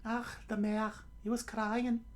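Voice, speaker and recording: Man playing young woman, high falsetto, exasperated, sharp-tempered, adventure story. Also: level tone, measured pace. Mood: puzzled.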